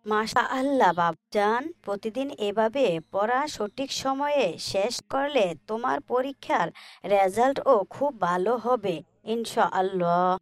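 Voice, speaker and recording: A woman's voice: on the high side, slow, dry recording. A woman voicing a caring, pious mother, affectionate and instructive, in a moral cartoon. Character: caring, pious mother, patient but concerned. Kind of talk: Islamic moral cartoon dialogue.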